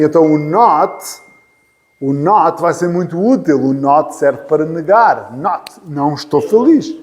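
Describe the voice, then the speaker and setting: A man from Portugal with a European Portuguese accent, teaching an English class patiently, repeating phrases and joking lightly. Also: a little high. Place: church hall classroom. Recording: reverberant hall.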